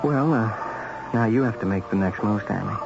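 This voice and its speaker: An American actor playing a smooth magazine editor who recruits contract killers in a 1970s radio drama, insinuating and matter-of-fact with casual hesitations. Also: male voice, fairly low pitch, moderate pace. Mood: coolly pressing. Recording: muffled old radio broadcast, music bed.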